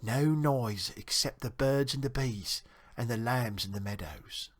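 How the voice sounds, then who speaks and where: A man's voice: medium pitch, measured pace, close to an everyday voice. A man voicing a timid, homesick little country mouse from a storybook, speaking in a meek, earnest, wistful way. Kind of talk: storybook character reading.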